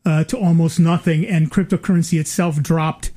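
An older man co-hosting a relaxed conversation. He wanders through his points with plenty of ums and uhs and restarts. He drops in dry, wry asides along the way. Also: on the high side, normal speed. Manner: rambling, many fillers and restarts, wry asides. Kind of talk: casual chat.

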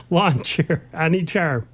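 Man with quick sarcastic quips. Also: on the high side, breathy edge. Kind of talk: casual chat.

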